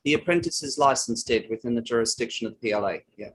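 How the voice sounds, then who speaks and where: A man's voice: medium pitch, expressive, fast, a bit bright, slightly rough. Man playing a firm, plain-spoken riverboat crewman witness. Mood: matter-of-fact. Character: male riverboat crewman witness, matter-of-fact and defensive. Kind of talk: table read of an inquiry play.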